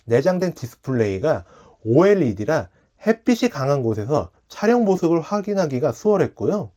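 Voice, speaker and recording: A man presents a scripted product review in a polite, explanatory manner. His voice sits a little high for a man and moves through very animated rises and falls. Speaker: tech review channel host.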